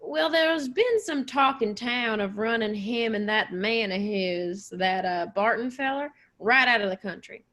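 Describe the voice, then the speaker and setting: A woman's voice: fairly high pitch, unhurried, hardly any pauses, a bit bright. Woman playing a folksy small-town male sheriff. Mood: folksy, gossipy. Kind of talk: radio play performance.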